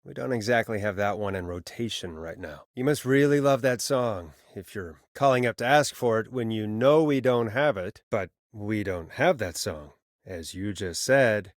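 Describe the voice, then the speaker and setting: A man's voice: on the low side, dry recording, lightly acted. A man as a dry-voiced late-night radio DJ. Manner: conversational, hesitant, dryly sarcastic. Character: wry, exasperated male late-night small-town radio DJ. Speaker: radio host. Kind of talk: scripted game voice acting, radio host patter.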